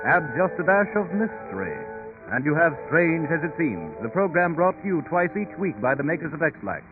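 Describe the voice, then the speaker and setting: Male American radio announcer, 1930s style, highish voice, polished dramatic emphasis, tinny recording over music.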